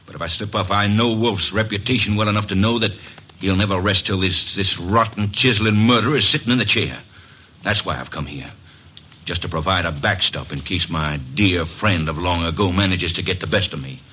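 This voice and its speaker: A man playing a bitter, driven drifter bent on revenge in a 1940s radio drama, tense and husky. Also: low-pitched, brisk, sharp tone, a little hiss or hum. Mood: grim.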